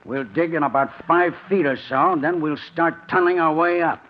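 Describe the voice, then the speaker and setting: A man's voice: on the high side, moderate pace, slight background noise, lightly acted. Muffled old-radio tough guy, American played by an actor. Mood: calm, determined. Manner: commanding, slangy, terse. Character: tough, steady older crook leading his younger partner. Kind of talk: 1940s radio drama acting.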